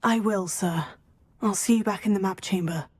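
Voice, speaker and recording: A woman's voice: mid-range voice, normal speed, natural voice. A woman playing a polite, earnest teenage witch student in a video game. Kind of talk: video game character dialogue. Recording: clear ambient noise behind.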